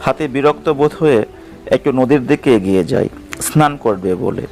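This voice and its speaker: A man reads a scripted children's tale as its narrator. His voice sits in an average male register. Light music plays softly behind him. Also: mid-range voice, animated, moderate pace, low-quality recording. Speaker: story narrator.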